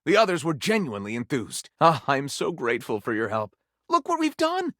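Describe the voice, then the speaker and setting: Theatrical bookish living library for a video game, super animated swoopy voice, florid and gushy, dry studio sound. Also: male voice, on the high side, brisk, dry recording. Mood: delighted. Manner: effusive, florid, theatrical diction. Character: flamboyant, theatrical bookish living library, eager and easily wounded. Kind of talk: video game character acting.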